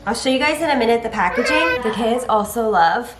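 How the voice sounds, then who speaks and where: A woman's voice: a little high, lively intonation, a little fast, roomy sound, background noise. A young woman, a mother, chatting casually to camera at home, sounding congested from allergies and rambling with lots of "like". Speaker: vlogger, mother. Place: home, indoors.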